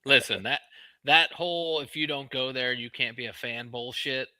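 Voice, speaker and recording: Blunt american guy hosting sports chat, really sharp forceful voice, dry sound. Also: medium pitch, normal speed, no echo. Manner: blunt, profane. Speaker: sports show host.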